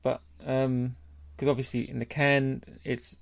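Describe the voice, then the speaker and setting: A man's voice: mid-range voice, flat delivery, measured pace, creaky, dry recording. A British man co-hosting a casual beer podcast in a blokey, informal, sweary way. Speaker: podcast co-host.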